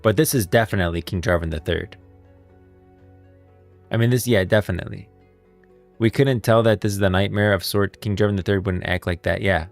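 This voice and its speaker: A man with a very low voice gives casual lore commentary as a stream host. He rambles and stresses his points by repeating them, with music playing behind him. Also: brisk, gravelly, pauses often, no room sound. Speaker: livestream host. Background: background music.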